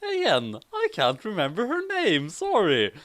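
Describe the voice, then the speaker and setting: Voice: medium pitch, wildly swooping pitch, a little slow, fairly bright tone, dry recording. A host doing a comic dramatic reading of fan fiction with casual banter, theatrical and prone to stumbling and repeating phrases.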